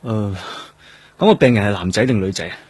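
A man's voice: lowish voice, lively intonation, brisk, darkish tone, slightly rough, no echo. A man acting a grieving, introspective police detective in a Cantonese radio drama, with a Hong Kong accent and guitar music behind him. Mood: guarded, probing. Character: brooding, grieving male police detective haunted by loss. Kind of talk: radio drama acting with first-person narration. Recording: music under the voice.

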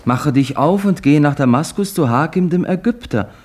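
An actor playing an earnest, devout young pilgrim who pleads and insists, in a 1950s radio play. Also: male voice, on the high side, animated, normal speed, darkish tone. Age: young adult.

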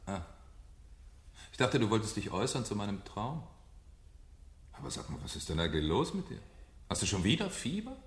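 An actor playing a domineering, well-read fire captain in a German radio play, with a mocking, declamatory, theatrical delivery and jovial menace. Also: male voice, on the low side, very animated, fairly quick, pauses often. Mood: jovial, needling. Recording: echoey 1970 radio drama studio.

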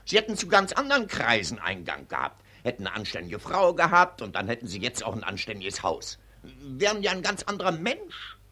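Brief: pompous colonel, 1940s radio drama, husky edge, very animated clipped delivery. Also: male voice, mid-range voice, a little fast, full character voice. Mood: patronising, blustering. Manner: pompous, patronising, barking, clipped. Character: pompous, blustering German colonel, brisk and condescending. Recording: dated, gritty 1940s radio recording.

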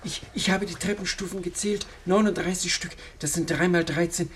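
An actor playing a superstitious, anxious gangster sidekick in a comic radio drama, chattering and lamenting theatrically. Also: male voice, sits high, fairly even intonation, moderate pace, a little hiss or hum, natural voice. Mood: fretful. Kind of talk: German radio crime satire acting.

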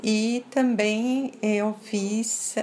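A woman from France with a slight French accent, answering interview questions in a measured way, stressing single words and often checking in with "tá?". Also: on the high side, fairly even intonation, a little mellow, slight background noise. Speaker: interview guest. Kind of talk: casual interview conversation.

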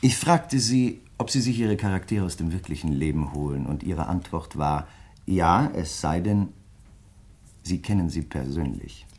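An actor playing a grieving crime writer in a German radio play, speaking in a measured, reflective way with polite insistence. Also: male voice, low-pitched, expressive, darkish tone. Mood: troubled, brooding.

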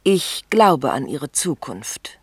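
A commanding upper-class wife in a sixties radio drama. She speaks in a measured, suggestive, imperious way, dry and close. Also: low voice, sing-song, breathy edge, dry recording, full character voice. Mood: calculating. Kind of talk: 1960s German radio play acting.

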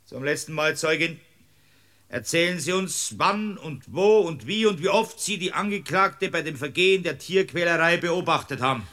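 A bright, forceful, rather high-pitched male voice as a prudish Bavarian judge in a comic radio play. He is pompous and officious, scolding in a stern, easily flustered manner. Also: normal speed. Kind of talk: comic radio drama acting.

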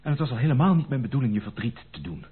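Protective gentleman lover, radio drama: very breathy-rough voice, lively swooping intonation, formal and emphatic, old muffled recording. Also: mid-range voice, rapid-fire. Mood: apologetic, pleading. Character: earnest, protective gentleman cousin in love. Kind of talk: Dutch radio play acting.

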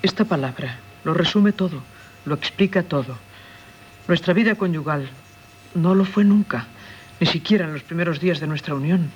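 Actress as anguished wife, dull old radio recording. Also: female voice, fairly low pitch, moderate pace, darkish tone, natural voice. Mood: earnest, bitter. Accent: Castilian Spanish. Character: anguished, unhappy wife pleading for her freedom. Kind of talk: 1970s Spanish radio drama acting. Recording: dull 1970s radio recording, clear hiss.

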